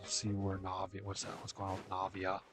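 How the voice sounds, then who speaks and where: A man giving casual, low-key commentary as he plays a video game. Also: monotone, fairly quick. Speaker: gamer. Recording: game music over the commentary.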